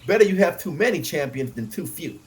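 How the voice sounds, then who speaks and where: A man's voice: fairly high pitch, expressive, fairly quick, pitched above the performer's own voice, lightly acted. A man voicing a grave, anxious young nobleman in an audiobook, earnest and emphatic. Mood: urgent, wary. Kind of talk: audiobook character acting.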